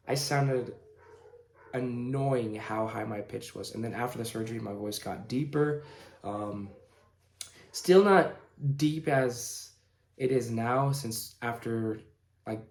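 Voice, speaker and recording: A young man in his late teens tells a personal story in a casual, informal style. His delivery is halting, with pauses in the middle of sentences. His medium-pitched voice sits in a roomy, echoing indoor space. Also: darkish tone. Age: young adult, late teens. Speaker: vlogger telling own story.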